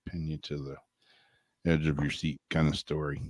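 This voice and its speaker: A very low-pitched man chats casually on a vlog, dropping dry, wry asides between stories. The sound is close and dead, with no room echo at all. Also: level tone, unhurried, a little mellow. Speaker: co-host. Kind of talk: casual vlog chat reading news aloud.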